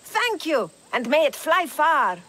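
Boastful ancient market trader, swooping pitch, bright voice, eager selling, video game character. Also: female voice, very animated, takes it slowly, slight background noise, exaggerated voice. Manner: sales-pitch flourish.

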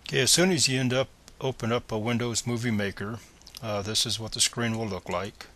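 A man's voice: medium pitch, steady tone, fairly quick, fairly bright tone. A man presenting a step-by-step screencast tutorial in a plain, methodical, instructional manner.

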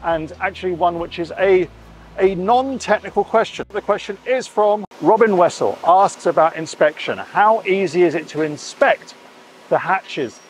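Higher-voiced, enthusiastic man vlogging a tour. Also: expressive, normal speed, a little hiss or hum. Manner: emphatic, repeats words and phrases. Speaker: host of a sailing vlog. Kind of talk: enthusiastic walk-through tour to camera. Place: inside a boat under construction and a large boatyard shed. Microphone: clip-on lapel mic.

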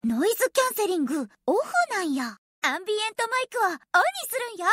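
A woman's voice: very high voice, fast talker, dry recording, clean studio sound. A woman voicing a bubbly, eager teenage idol singer with a rural dialect, in anime-style device prompts. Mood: perky, earnest. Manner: excitable, cute, folksy sentence endings.

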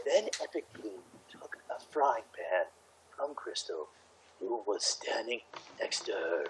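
A man's voice: on the low side, sing-song, slow pace, poor audio. A man reading amateur fan fiction aloud casually, stumbling over words and hamming up the quoted lines.

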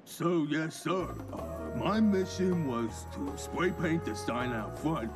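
An actor playing a meek, dim, obedient vigilante underling in a video game, hesitant and deferential. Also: male voice, fairly high pitch, animated, slow pace, over loud music. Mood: sheepish. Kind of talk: video game cutscene acting.

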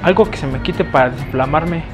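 A young Mexican man with a high voice asks strangers absurd questions with a straight face, half pleading. He is recorded on a handheld action camera out on the street, with music playing behind him. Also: a little fast. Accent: Mexican Spanish. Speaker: prankster host. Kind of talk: street prank video. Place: streets, shops and a car lot, mostly outdoors. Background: background music.

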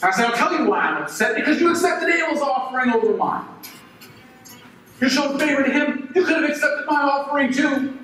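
A man's voice: sits high, measured pace, pauses often, a bit bright, faint music behind. A man performing a first-person dramatic monologue as a resentful, jealous young farmer, speaking with impassioned, ranting intensity. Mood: indignant. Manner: impassioned, swings from brooding to ranting. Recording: very echoey hall, voice from far off, faint noise.

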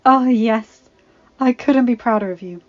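A woman's voice: sits high, sing-song, quick pace, dry recording, dull audio, faint music behind, pitched above the performer's own voice. A woman voicing a loving, nervous but protective French mother in a story. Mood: proud, loving.

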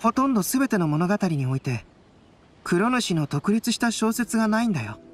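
A woman voicing a calm, thoughtful young male scholar in an anime-style game, composed and analytical. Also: mid-range voice, normal speed. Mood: pensive. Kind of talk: anime game dialogue acting.

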